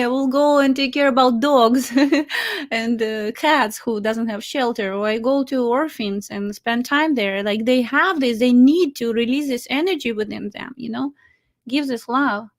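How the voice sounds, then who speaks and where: A woman from Ukraine with a Ukrainian accent, a matchmaker talking casually with many fillers, restarts and laughs. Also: fairly high pitch. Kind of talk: casual chat.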